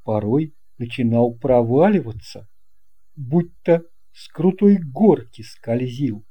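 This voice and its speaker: A narrator reading a children's story with deliberate, measured phrasing. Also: mid-range voice, animated, warm tone, slightly rough. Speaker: audiobook narrator. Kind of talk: scripted narration of a children's tale.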